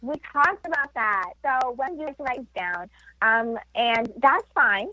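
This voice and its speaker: A woman's voice: fairly high pitch, expressive, measured pace, bright, forceful tone, no echo. Explaining woman on a dull lo-fi line. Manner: explanatory, frequent fillers and "right?" tags. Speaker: health and wellness expert guest. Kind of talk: explaining advice on a radio talk show.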